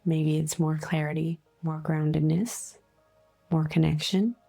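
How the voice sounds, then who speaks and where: A woman leading a guided meditation in a soothing, unhurried, gently instructive way, with breathy phrase endings. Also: low voice, little pitch movement. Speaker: guided meditation host, energy healer. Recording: soft music bed behind voice. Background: faint music.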